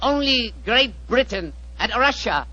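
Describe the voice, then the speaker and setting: An actor playing a haughty Chinese emissary, barking curt commands in 1940s radio drama style. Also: male voice, extremely high pitch, very animated, unhurried, pushed and bright, full character voice. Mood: imperious. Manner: barking, commanding, clipped phrases. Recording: muffled 1940s radio recording.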